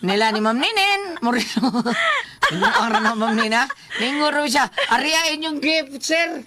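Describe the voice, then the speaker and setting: A woman's voice: high-pitched, expressive, a little slow, noisy background. A woman with a Visayan Filipino accent hosting radio, giving casual greetings and banter in an effusive, repetitive way. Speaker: radio host.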